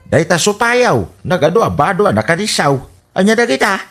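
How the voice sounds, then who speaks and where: A man playing a disapproving, nagging older father in an Ilocano radio comedy drama, husky, with an Ilocano accent. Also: high voice, very animated, moderate pace, fairly bright tone, soft background music, heavily acted.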